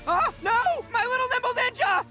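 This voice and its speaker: A man's voice: very high voice, expressive, normal speed, over music. An actor voicing a brash, boastful oni man in a game, swaggering and boisterous. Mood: competitive. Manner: swaggering, boisterous, hesitant fillers. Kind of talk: game voice acting.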